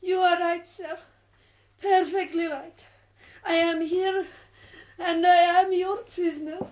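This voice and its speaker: An actress playing a proud, weary middle-aged revolutionary woman in a radio drama, speaking slowly and deliberately. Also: extremely high pitch, fairly even intonation. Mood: distressed. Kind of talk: BBC radio drama acting.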